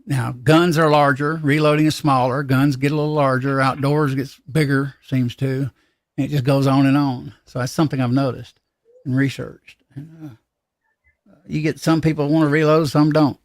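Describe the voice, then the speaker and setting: A man hosting a casual livestream chat, talking in a rambling, halting, folksy way. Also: mid-range voice, level tone, dry recording, clean studio sound. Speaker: livestream host.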